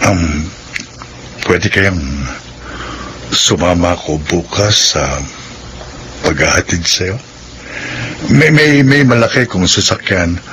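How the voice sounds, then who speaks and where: An actor playing a gentle, devoted suitor in a Filipino radio drama, speaking tenderly and shyly. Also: male voice, low-pitched, takes it slowly, pauses often, slight background noise, full character voice. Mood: tender, hesitant.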